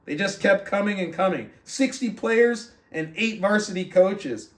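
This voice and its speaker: Male book club host and reader, slightly high voice, very roomy sound. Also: animated, unhurried. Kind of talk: reading a book aloud with casual commentary. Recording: roomy echo, distant from mic.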